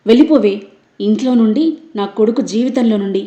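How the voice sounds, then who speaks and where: A woman's voice: on the high side, a little fast, mellow, reverberant, dull audio, natural voice. A woman voicing a harsh, accusing middle-aged mother-in-law who hurls insults. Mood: scornful, furious.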